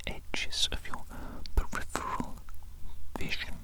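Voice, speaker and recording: Performer acting a sinister evil doctor, breathy whisper, very slow, repetitive, controlling, ASMR roleplay. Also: lightly acted. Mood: patient, insistent. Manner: slow, repeats phrases softly, fussy and controlling. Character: evil mad doctor instructing their little minion creature.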